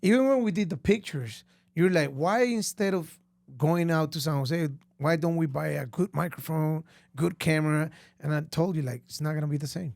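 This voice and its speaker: A man with a Costa Rican Spanish accent, co-hosting a casual podcast chat in a relaxed way. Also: fairly high pitch, normal speed, gravelly, dry recording. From Costa Rica. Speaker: podcast co-host.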